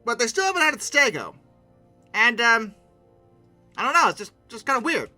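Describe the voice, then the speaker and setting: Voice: medium pitch, big pitch swings, moderate pace, sharp tone. A game reviewer giving an informal, comedic video commentary with a theatrical, sarcastic delivery that leans toward mock outrage. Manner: sarcastic asides. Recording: music bed behind the voice. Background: music.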